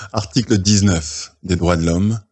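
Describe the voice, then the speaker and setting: A man presenting in a casual, playful way, teasing his audience and announcing each item deliberately. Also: deep voice, a little mellow, slightly rough.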